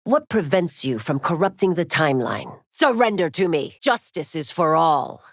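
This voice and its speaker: A woman's voice: deep voice, very animated, moderate pace, no room sound, clean studio sound, full character voice. An actress playing a stern, dutiful warrior woman and law officer in a fighting game, speaking in formal, commanding, clipped declarations. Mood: stern, authoritative. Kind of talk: fighting game character voice lines.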